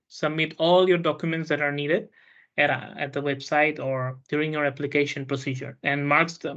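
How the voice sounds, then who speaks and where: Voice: mid-range voice, a bit bright. Student host running an online panel, lots of uhs and restarts, kinda muffled call sound. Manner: hesitant, many fillers and restarts.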